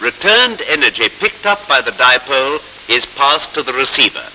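A man narrating a 1940s instructional film in a formal, measured, explanatory manner, on a scratchy old film soundtrack. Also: mid-range voice, big pitch swings, bright, forceful tone.

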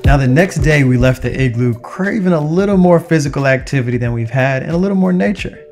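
A young man narrates his travels in a casual, upbeat voice of medium pitch. He talks conversationally, like a vlogger sharing a trip, with music playing behind him. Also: expressive, fairly quick. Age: young adult.

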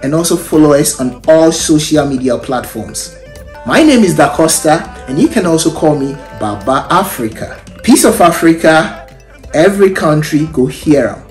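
A man from Ghana hosting his own travel vlog, cheerful and quick to laugh, speaking from kitchens and city streets. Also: fairly high pitch, lively intonation, a little mellow. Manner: cheerful, laughs often, promo-style outro. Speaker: travel show host. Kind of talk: upbeat travel vlog presenting. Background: music bed.

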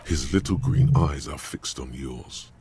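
A man voicing a grave, brooding narrator in a game, reading in a measured, literary way. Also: dark tone, a little hiss or hum. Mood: grave, watchful. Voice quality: very deep, gravelly. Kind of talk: scripted second-person game narration.

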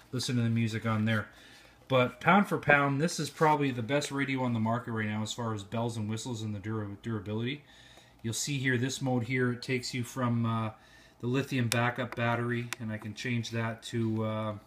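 A man's voice: mid-range voice, slight background noise. A man giving an informal, slightly rambling hands-on product review, recorded indoors on a handheld phone. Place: indoor room at home. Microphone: handheld cell phone.

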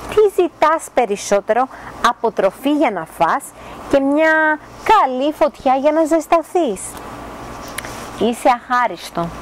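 A baffled farm animal from a fairy tale, played by a woman scolds reproachfully in a raised pitch. Some outdoor noise sits behind the voice. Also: lively intonation, poor audio. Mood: scolding.

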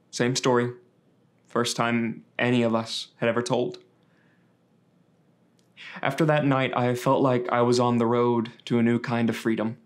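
A young man delivers a first-person testimonial as a read monologue. His voice sits at a middle pitch. He tells it conversationally, with small hesitations. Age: young adult. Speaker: reader of a survivor's story. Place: indoors against a dark backdrop.

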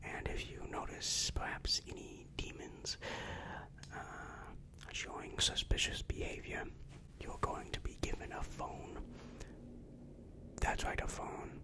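A young man whispering an ASMR roleplay as a helpful, polite demon counselor, soft, hesitant and reassuring, close on the microphone. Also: takes it slowly. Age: young adult. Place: small dim room indoors. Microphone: large microphone right in front of the mouth.

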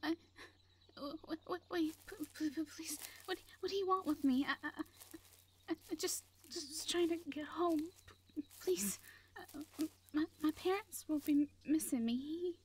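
A woman playing a shy, frightened young owl captive in an audio drama, with a heavy halting stammer. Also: sits high, slight background noise. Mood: frightened, pleading. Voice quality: heavy stammer, breathy gasps. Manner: timid, halting, pleading. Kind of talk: amateur audio roleplay drama.

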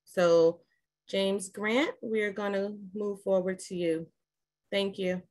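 A woman moderates an online candidates' forum from a small room at home. She speaks in a polite, measured way at a middle pitch. She keeps things moving and asks people to mute. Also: fairly even intonation, a little mellow. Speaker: host and moderator. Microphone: video call.

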